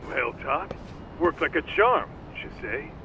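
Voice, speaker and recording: Game sidekick: easygoing, confident, lively inflection, muffled sound with noise. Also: male voice, a little high, unhurried. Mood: pleased. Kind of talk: English video game dub acting.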